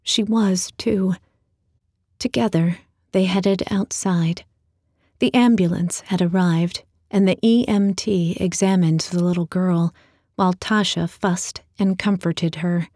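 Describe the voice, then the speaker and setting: A woman's voice: on the low side, fairly even intonation, slow, darkish tone, dry recording, clean studio sound. A woman reading a short story as a scripted fiction narration.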